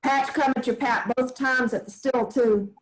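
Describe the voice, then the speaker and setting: A woman's voice: sits high, steady tone, normal speed, lightly acted, low-quality recording. A woman playing a folksy old grandmother who tells family stories in a drawling, homespun way. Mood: fond. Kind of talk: staged play reading.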